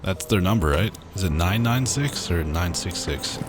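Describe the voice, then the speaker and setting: Low-voiced man casually commenting on a game. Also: animated, normal speed. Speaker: let's player. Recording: clear game music behind voice. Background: game music.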